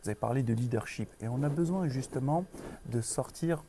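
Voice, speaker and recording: Directeur d'école, origine marocaine, ton mesuré, timbre doux, ambiance discrète. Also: male voice, mid-range voice, dark tone, slight background noise. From Morocco. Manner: measured, many pauses and restarts. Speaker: school director. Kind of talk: interview.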